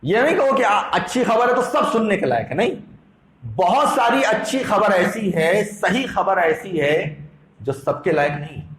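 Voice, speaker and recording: High-pitched, animated male preacher. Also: unhurried. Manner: emphatic, didactic, repeating phrases for effect. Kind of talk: Islamic sermon with Quran quotations. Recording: echoey room sound.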